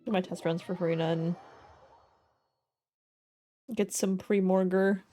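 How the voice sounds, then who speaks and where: A woman's voice: lowish voice, a little slow, soft background music. A woman chatting casually and expressively while live streaming a game. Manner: giggly, expressive.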